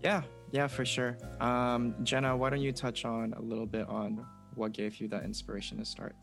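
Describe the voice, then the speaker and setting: A man's voice: mid-range voice, little pitch movement, normal speed, creaky, fairly bright tone, soft background music. A young man hosting an interview, friendly and encouraging, with many fillers as he asks questions. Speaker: host.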